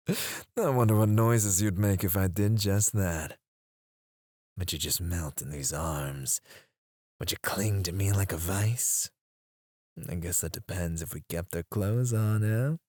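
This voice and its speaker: A menacing captor, as a man acts him out, taunts his prisoner in a deep, unhurried murmur. He drops into soft whispers and low chuckles on a close mic. The sound is dry and intimate. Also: no room sound, heavily acted. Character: teasing, menacing male captor interrogating a prisoner. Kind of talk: ASMR roleplay.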